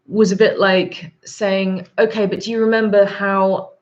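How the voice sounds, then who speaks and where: A woman in her thirties with a sore throat and a husky voice, hosting a live stream, chatting casually and rambling with frequent ums. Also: medium pitch, flat delivery, a little slow, muffled recording. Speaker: livestream host. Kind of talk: live chat reading viewer comments.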